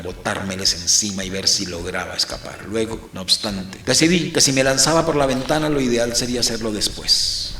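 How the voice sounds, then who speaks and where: A man playing an embattled, defiant priest who recounts his own story in a deliberate, literary audio drama narration. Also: lowish voice, bright, forceful tone, roomy sound. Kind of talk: dramatic first-person scripted narration.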